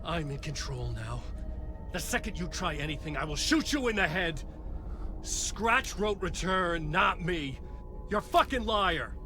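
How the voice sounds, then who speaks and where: An actor playing an angry, distrustful armed man in a video game, forceful and confrontational. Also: fairly high pitch, animated, measured pace, music in the background. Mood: furious, threatening. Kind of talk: dramatic video game acting.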